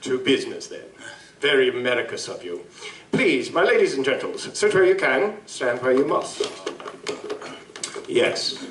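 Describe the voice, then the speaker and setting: A man's voice: medium pitch, animated, a little slow, pauses often, noisy background, natural voice. A man playing a pompous, genial English big-game vampire hunter with grandiloquent, genteel speech in a live audio drama. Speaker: stage actor. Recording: roomy live stage, distant mic.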